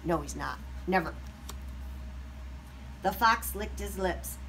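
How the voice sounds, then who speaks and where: A woman reading a picture book aloud to young children, expressive, with explanatory asides. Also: lowish voice, slow pace, a little hiss or hum, poor audio.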